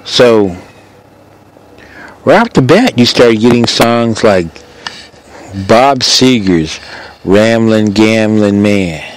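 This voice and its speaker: An older man with an American accent and a fairly low voice speaks as a radio show host. He tells his story casually and conversationally, wandering as he goes and slipping into reported dialogue. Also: expressive, slow, pauses often. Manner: rambling, conversational, re-enacts dialogue.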